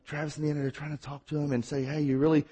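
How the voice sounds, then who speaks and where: A man with a Mississippi accent preaching a sermon, telling stories and at times talking fast. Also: on the high side, little pitch movement, warm tone, breathy edge. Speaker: pastor.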